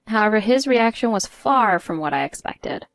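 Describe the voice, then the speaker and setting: A woman reading a scripted first-person story in a measured, articulate way. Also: medium pitch, animated, gravelly, no echo. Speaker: story narrator.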